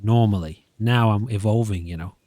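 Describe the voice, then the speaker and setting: Low-voiced man doing casual game commentary. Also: normal speed, warm tone, no echo. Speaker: gaming channel host. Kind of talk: casual let's play commentary.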